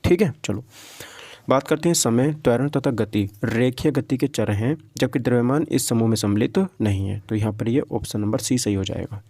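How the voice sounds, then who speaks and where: A man's voice: mid-range voice, moderate pace, dark tone, no echo. A man teaching physics for exam preparation in an informal lecture style, reading out questions and explaining them, often repeating himself and checking that listeners follow.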